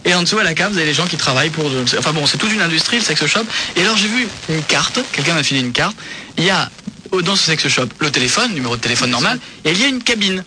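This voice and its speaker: A man's voice: on the high side, lively intonation, fast talker, bright, forceful tone, slight background noise, low-quality recording. A man hosting a radio show, joking playfully with mock outrage and frequent laughter. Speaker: radio host.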